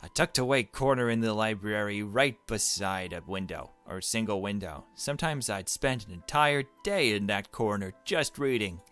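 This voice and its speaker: A man reading aloud the narration of a gentle, anxious, bookish young girl in a visual novel. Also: lowish voice, expressive, normal speed, hardly any pauses, clean tone, faint music behind. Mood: gentle, musing.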